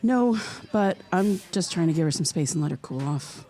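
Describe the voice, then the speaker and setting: An actress playing an earnest, curious young American podcaster in an audio drama, speaking in a conversational, slightly hesitant way. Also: female voice, lowish voice, animated, moderate pace, dark tone. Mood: guarded. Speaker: podcast host character.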